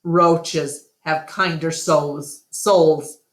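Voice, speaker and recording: A woman acts out a grumbling male storybook animal with a cynical streak. The lines come slowly, wry and emphatic. The room echoes around the voice. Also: sits low, steady tone. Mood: dark, bitter. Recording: roomy, echoing room sound.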